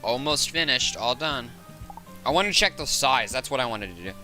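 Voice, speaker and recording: A man hosts a casual gaming stream in a hoarse, raspy voice that still cuts through very brightly. His pitch sits in the middle for a man. He rambles and reasons out loud, with faint music behind him. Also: animated, moderate pace. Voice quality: raspy, sore voice. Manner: rambling, thinking aloud. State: hoarse from hours of talking. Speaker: game streamer, host. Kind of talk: casual livestream chat while gaming.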